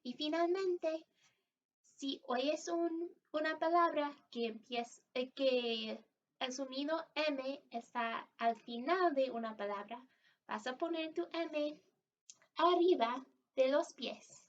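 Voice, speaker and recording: A woman leading story time and a lesson for young children, encouraging and exaggerated, pausing as if for their answers. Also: sits high, a little hiss or hum. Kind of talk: read-aloud and interactive lesson for young children. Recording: dull, distant microphone sound.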